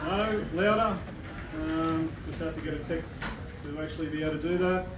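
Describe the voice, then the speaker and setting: A man with an Australian accent gives a plain-spoken public briefing as a fire incident controller at a community meeting, speaking loudly into a microphone with many "uh" pauses. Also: fairly high pitch, steady tone, reverberant, distant mic, background noise. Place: bowling club function hall. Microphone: microphone addressing a room.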